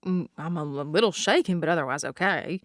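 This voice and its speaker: A woman's voice: deep voice, brisk, no echo, clean studio sound, lightly acted. A woman playing an anxious, shaken theatre performer in a western audio drama.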